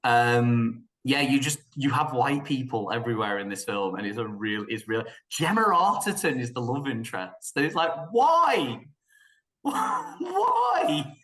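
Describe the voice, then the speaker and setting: A man giving opinions in a casual film discussion, animated and joking, sometimes slipping into mock quotes. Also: mid-range voice, unhurried. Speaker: podcast panelist reviewing films.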